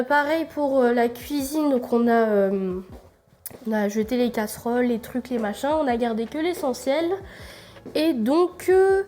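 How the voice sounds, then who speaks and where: A young woman with a stuffy, cold-thickened voice chats to her camera as she shows round her home. Her voice sits a little high, and she rambles loosely, with plenty of filler sounds. It is an easygoing, homemade vlog recorded indoors. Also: darkish tone. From France. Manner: rambling, many fillers, gives a tour while tidying. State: congested with a cold. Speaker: vlogger. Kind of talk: casual vlog chat. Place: apartment indoors.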